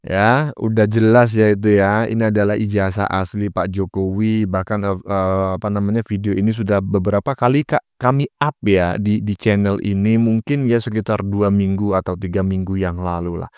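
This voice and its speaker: A man's voice: on the low side, fairly even intonation, moderate pace, few pauses, darkish tone, smooth, no echo, clean studio sound. A man giving informal political reaction commentary in a mocking manner, full of rhetorical questions. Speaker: host of a reaction channel.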